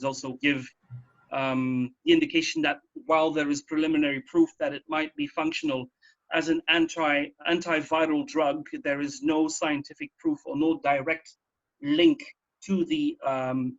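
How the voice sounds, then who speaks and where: A man with a fairly high voice and noticeable fry talks through health news in a casual radio discussion. He explains point by point, circling back to rephrase. The audio is a little dull. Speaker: radio talk host.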